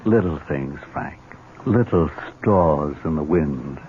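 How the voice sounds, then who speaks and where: An actor playing a sly, cynical older uncle, sardonic and insinuating, in a vintage radio drama on a tinny old recording. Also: male voice, very deep voice, lively intonation, slow, a little hiss or hum, heavily acted. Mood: sly needling.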